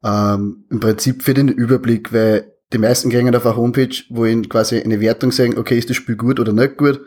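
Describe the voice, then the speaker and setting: Husky-voiced man with an Austrian accent. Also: mid-range voice, a little fast. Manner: relaxed, laughs between sentences. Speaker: guest, hobby game reviewer. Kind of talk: casual interview.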